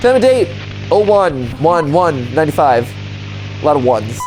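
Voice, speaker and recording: Animated, sarcastic man reacting over music. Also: fairly high pitch, moderate pace, rough recording. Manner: sarcastic joking asides, exclamations. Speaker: reaction video host. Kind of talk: casual reaction commentary, reading on-screen text aloud. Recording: music behind the voice.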